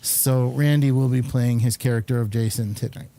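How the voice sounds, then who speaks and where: A man hosting a casual studio chat in a halting, rambling manner. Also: mid-range voice, fairly even intonation, dark tone. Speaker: host.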